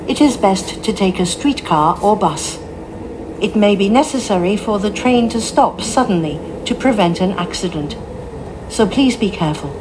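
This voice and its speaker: A woman giving scripted onboard announcements as a train announcer, formal, polite and carefully phrased. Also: mid-range voice. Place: inside a train, over the announcement system. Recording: noisy train cabin recording.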